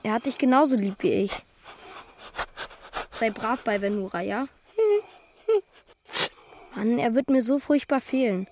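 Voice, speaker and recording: An actress playing a youthful, informal, slightly grumbling child in a German children's radio drama. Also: female voice, on the high side, a little slow, long pauses, a little mellow, slight background noise, natural voice. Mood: sulky, sad. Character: child about to emigrate to space, attached to dog.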